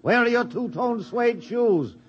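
A theatrical boozy fake doctor. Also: male voice, very high-pitched, animated, a little slow. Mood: fussy. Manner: fussy, theatrical, hesitant with uhs. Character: boozy phony doctor, man, fussy and theatrical. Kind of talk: 1950s radio drama acting. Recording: dull 1950s radio broadcast.

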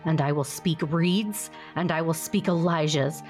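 A woman acting a composed, persistent older female ambassador in an audio drama, breathy, formal, deliberate and firm. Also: deep voice, darkish tone. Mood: solemn, resolute. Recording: music under the voice.

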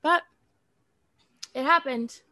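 A woman's voice: high voice, slow pace, bright, forceful tone, no echo. An American woman in her thirties co-hosting a podcast in a casual, chatty style. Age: 30s. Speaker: podcast co-host. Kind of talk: casual chat.

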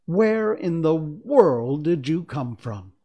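A man's voice: fairly high pitch, big pitch swings, slow pace, husky, dull audio, voice pushed up, exaggerated voice. A man reading a storybook, voicing a loving older farm woman with tender exclamations. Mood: tender surprise.

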